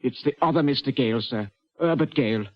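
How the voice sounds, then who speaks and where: An actor playing a veteran prison warder, deferential and plain-spoken, with a British working-class accent, in 1940s radio style. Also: male voice, medium pitch, a little fast, close to an everyday voice. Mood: uneasy, respectful. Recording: dull vintage radio recording.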